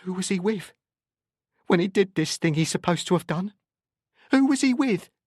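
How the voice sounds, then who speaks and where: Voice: mid-range voice, drawn-out words, vocal fry, no echo, clean studio sound, pitched above the performer's own voice. A narrator voicing a protective, distraught working-class mother who protests and pleads. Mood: accusing.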